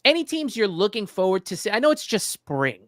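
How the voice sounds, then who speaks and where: A man hosting a casual sports interview, speaking conversationally with somewhat halting phrasing. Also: high-pitched, very animated, fairly bright tone, no room sound, studio quality. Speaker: sports show host, interviewer.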